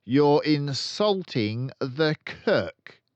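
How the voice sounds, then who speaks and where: A man voicing a tyrannical, bullying headmistress in a storybook, shouting and drawing out commands. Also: on the high side, animated, smooth, dry recording, pitched above the performer's own voice. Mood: sharp, menacing. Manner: shouting, drawn-out commanding delivery. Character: Trunchbull, tyrannical bullying headmistress, loud and menacing woman.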